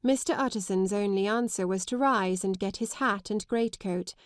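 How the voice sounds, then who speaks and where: A woman reading literary narration as an audiobook narrator, in a measured, articulate manner. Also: mid-range voice, darkish tone, no room sound, clean studio sound.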